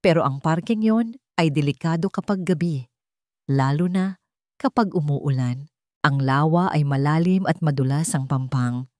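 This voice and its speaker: A woman's voice: deep voice, moderate pace, darkish tone, no echo, clean studio sound. A woman storyteller giving a scripted, dramatic narration of an emotional tale.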